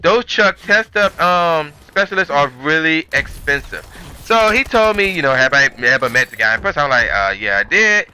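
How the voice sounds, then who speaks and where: A man's voice: on the high side, expressive, moderate pace, sharp tone, smooth. A man telling a story as a channel host, in a rambling, conversational, slangy way. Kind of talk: casual storytelling commentary.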